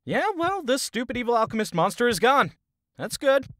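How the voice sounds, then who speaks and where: A man voices a young male high elf with lively, swooping intonation. He sounds hesitant and incredulous in a fantasy audio drama, with a very dry recording. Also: moderate pace, no room sound, full character voice. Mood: puzzled.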